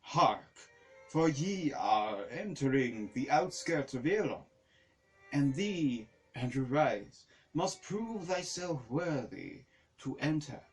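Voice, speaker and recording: A man's voice: medium pitch, animated, slow pace, faint music behind, low-quality recording. Man voicing a pompous, solemn judge of souls. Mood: solemn, commanding. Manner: pompous, proclaiming in archaic English. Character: solemn talking balance, archaic judge of souls.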